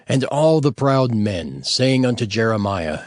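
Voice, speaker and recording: A man narrating scripture from a script in a measured, formal and expressive manner. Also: mid-range voice, dry recording, clean studio sound.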